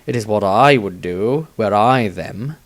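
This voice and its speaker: Guy doing grim suspicious fantasy man, slow brooding delivery, formal wording, dry close sound. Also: lowish voice, animated, no room sound. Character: wary, grim male investigator of suspicious mind.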